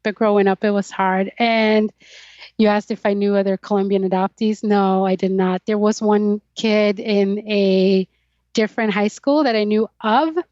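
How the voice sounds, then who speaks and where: A woman's voice: medium pitch, fairly even intonation, normal speed, darkish tone, no echo. Reflective Swedish-born woman, muffled dry audio. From Sweden. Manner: reflective, thoughtful, with light laughs. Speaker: podcast guest. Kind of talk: candid personal interview.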